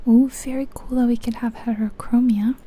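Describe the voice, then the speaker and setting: A woman whispering softly and breathily in an unhurried, musing ASMR commentary on a video game. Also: fairly high pitch, steady tone, slightly rough. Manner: hushed, unhurried, musing aloud.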